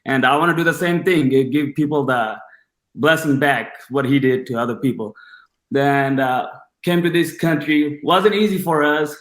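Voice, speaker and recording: A man's voice: on the high side, normal speed. Roomy-sounding young man from Bangladesh, casual. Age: 20s. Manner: informal, slangy, enthusiastic. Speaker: podcast guest. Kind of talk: casual personal storytelling.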